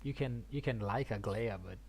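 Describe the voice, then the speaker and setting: A gaming streamer giving casual commentary on a story, thinking aloud haltingly and repeating words. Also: mid-range voice, slight background noise.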